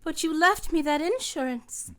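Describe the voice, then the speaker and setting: A man voicing a grieving, excitable widow in a shrill, pleading falsetto. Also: moderate pace.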